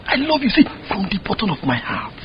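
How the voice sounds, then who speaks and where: A man's voice: high-pitched, wildly swooping pitch, fast talker, lo-fi sound, a little hiss or hum. An actor playing a lovestruck suitor pleading his love.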